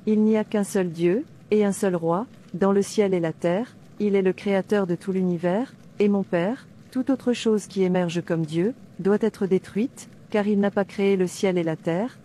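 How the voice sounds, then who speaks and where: A woman's voice: medium pitch, fairly even intonation, moderate pace, hardly any pauses, warm tone, a little hiss or hum, natural voice. A woman playing a devout, defiant princess in a dubbed cartoon, declaiming earnestly like a preacher. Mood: firm, devout. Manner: declamatory, earnest, citing scripture. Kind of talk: sermon-like dubbed cartoon dialogue.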